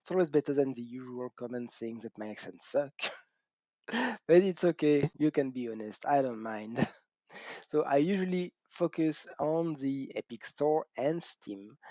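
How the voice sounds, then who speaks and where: A man's voice: a little high, expressive, normal speed, warm tone, no room sound. A middle-aged man with a French accent hosting a casual gaming channel, chatty and self-mocking, laughing often and whooping. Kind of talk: casual talk to camera. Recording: dull cheap mic, dry room.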